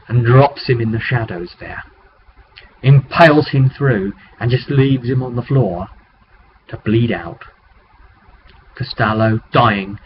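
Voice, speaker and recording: A man talking casually and haltingly as a game master recapping a tabletop game, rambling with conspiratorial asides. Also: lowish voice. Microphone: webcam microphone. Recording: muffled webcam mic with background noise.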